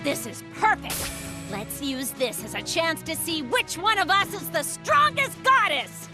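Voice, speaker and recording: A brash, combative young warrior goddess, very high and wildly animated. She declaims boldly, in anime game style, over loud music. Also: unhurried. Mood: fired up. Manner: bold, forceful declaiming. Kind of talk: dramatic anime game acting.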